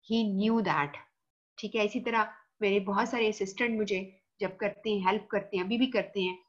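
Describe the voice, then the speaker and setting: A woman's voice: medium pitch, normal speed, muffled recording. A woman lecturer with a Pakistani Urdu accent, speaking emphatically to her students and mixing in English phrases. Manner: emphatic, mixes in English, addresses students directly. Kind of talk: recorded lecture. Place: voice-over for screen recording.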